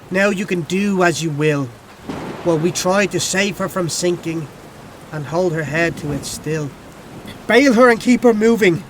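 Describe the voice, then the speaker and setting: A man's voice: high voice, fairly bright tone, slight background noise. A man giving a dramatic, storytelling recitation of verse.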